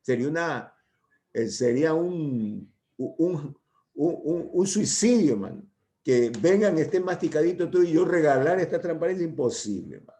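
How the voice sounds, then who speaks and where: A man's voice: on the high side, expressive, moderate pace, warm tone. An older man giving an informal university medical lecture, rambling and hesitant, often checking in with his students. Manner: rambling, hesitant, full of "¿ya?" and "¿no?" tags.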